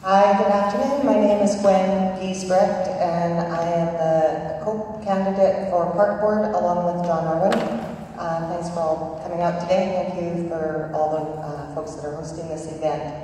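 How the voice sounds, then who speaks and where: A woman's voice: fairly low pitch, mellow. Middle-aged woman in an echoey hall. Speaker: park board candidate. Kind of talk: candidate speech at a public meeting. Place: community centre hall. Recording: echoey hall from far off, faint hum.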